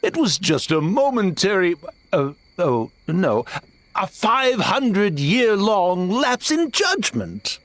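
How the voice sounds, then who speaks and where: A grovelling little tanuki spirit from a video game. The voice is high and swoops wildly, dragging slowly through stammered pleas. It is cowardly and melodramatic. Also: male voice, very animated, fairly bright tone, full character voice. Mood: grovelling, nervous. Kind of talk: video game cutscene acting.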